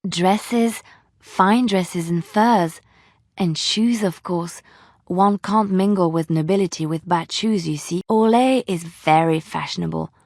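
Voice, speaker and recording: A devout young female companion from a fantasy video game. She is gentle and earnest, with a wistful, lyrical way of phrasing things. Her voice sits at a medium pitch in a very dry recording. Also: dry recording. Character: gentle, devout young woman from a fantasy land, fond of finery.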